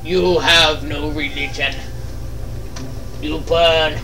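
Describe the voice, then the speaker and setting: A man's voice: a little high, flat delivery, extremely slow, bright, forceful tone, slight background noise. A man chatting casually and jokingly as he plays a game, given to exclamations and mock-dramatic lines. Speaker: gaming video player. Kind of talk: casual joking let's play chat. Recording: distant mic under game music. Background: video game music.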